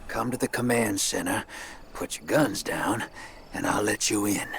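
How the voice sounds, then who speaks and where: An actor playing a gruff, guarded man in a video game, speaking plainly over faint background ambience. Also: lowish voice, measured pace, a bit bright. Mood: guarded, urgent. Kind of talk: video game cutscene acting.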